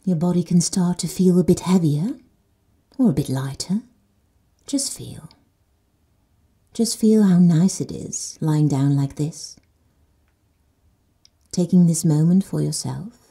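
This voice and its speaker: A woman leads a guided sleep meditation in a slow, soothing manner. She often drops to soft whispers. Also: lowish voice, vocal fry, husky. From Netherlands.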